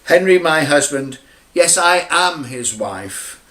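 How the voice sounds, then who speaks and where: A man reads a poem aloud as a dramatic monologue. His delivery is slow, solemn and declamatory, set out in short measured phrases. The recording is roomy, with a clear echo around the voice. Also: fairly high pitch, animated, a bit bright. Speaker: poet reading own poem. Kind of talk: recited dramatic monologue in rhyming verse. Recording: roomy, echoing amateur recording.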